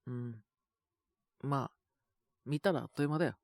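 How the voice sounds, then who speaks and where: A man with a sore, scratchy throat and a cough, chatting casually and intimately in soft, hesitant murmurs as if to someone beside him. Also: medium pitch, moderate pace, gravelly, no echo, studio quality. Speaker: voice actor making situation-voice videos. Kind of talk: intimate casual chat to an unheard partner.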